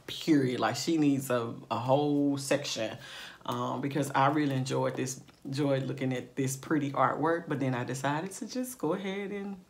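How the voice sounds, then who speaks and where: A woman with a slightly raspy morning voice, chatting casually through a makeup tutorial with plenty of fillers and asides to her viewers. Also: a bass voice. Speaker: beauty vlogger.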